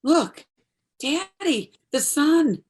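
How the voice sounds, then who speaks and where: An actress playing a troubled, searching young adult daughter in an earnest stage drama. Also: female voice, sits high, animated, very slow, a little mellow, heavily acted. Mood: soft wonder.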